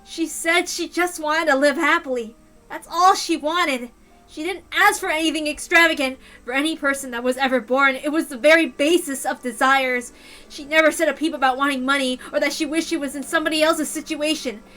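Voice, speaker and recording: A woman's voice: high-pitched, fairly quick, sharp tone. A woman reading a story aloud as a dramatic first-person narrator, in a mournful, intense manner. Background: music.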